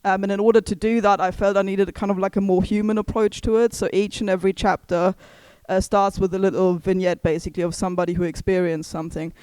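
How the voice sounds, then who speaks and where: Measured woman historian, smooth voice. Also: medium pitch, flat delivery, a little mellow, dry recording. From East Germany. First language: German. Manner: thoughtful, hedging with many fillers and restarts. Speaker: historian and author. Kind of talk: book launch talk.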